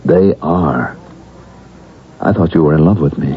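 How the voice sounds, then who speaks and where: A man's voice: a bass voice, measured pace, slight background noise. An actor playing a troubled, insistent male patient in a vintage radio drama, earnest and pleading. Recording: dull vintage radio broadcast with music.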